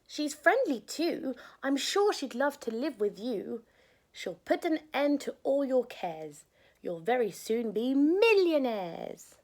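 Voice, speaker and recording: A woman voicing a panicky female duck who pleads in a high squeaky voice. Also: very animated, slow, exaggerated voice. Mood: pleading.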